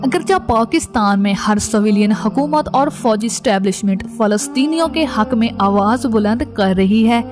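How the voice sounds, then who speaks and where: A woman's voice: on the high side, normal speed, few pauses. A woman reading a scripted documentary narration in a formal news-report manner. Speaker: narrator and channel presenter. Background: music.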